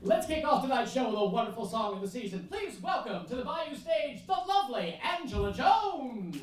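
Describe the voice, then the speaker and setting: Showy variety-show announcer, played by a woman: swooping delivery, highish voice, very echoey stage, music behind. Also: big pitch swings, normal speed, recorded from a distance, crowd noise, noisy background. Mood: grand, upbeat. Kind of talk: theatrical old-time radio announcing. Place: theatre stage.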